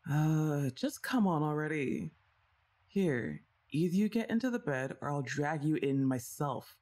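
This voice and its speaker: Teasing young woman with a lively voice. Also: low voice, a little slow. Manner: teasing, playfully bossy, sighs and soft laughs. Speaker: ASMR roleplay performer. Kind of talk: scripted ASMR roleplay, speaking to the listener.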